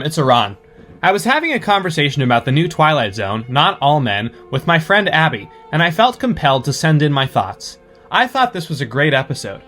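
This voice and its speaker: Male listener, slightly high pitch, articulate scripted commentary, analytical, background music. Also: fairly bright tone. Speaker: listener sending in recorded thoughts.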